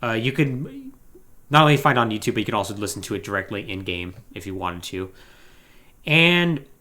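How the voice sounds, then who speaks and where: Animated, bright-voiced man co-hosting a podcast. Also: fairly low pitch, a little fast. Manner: rambling, exasperated, joking. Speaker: podcast co-host. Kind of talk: casual podcast talk explaining game storylines.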